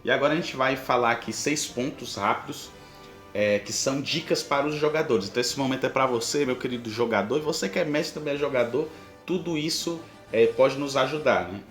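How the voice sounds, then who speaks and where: A man's voice: mid-range voice, brisk, a bit bright, reverberant, faint music behind. A man with a Brazilian accent hosting a podcast, explaining tips informally and speaking straight to the listener. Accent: Brazilian Portuguese. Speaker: podcast host. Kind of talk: conversational advice with examples.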